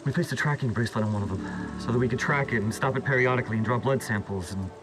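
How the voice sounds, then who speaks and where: A man's voice: mid-range voice, racing, close to an everyday voice, poor audio. A man acting as a guarded, earnest government researcher in a video game cutscene, explaining in a clipped, defensive way. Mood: businesslike. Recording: loud music behind, game cutscene.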